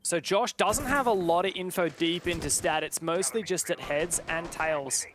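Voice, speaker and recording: A man's voice: on the high side, normal speed, a bit bright, slight background noise. A man giving live esports commentary in a chatty, joking, conversational way. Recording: game gunfire and clicks behind voice. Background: game sound with gunshots.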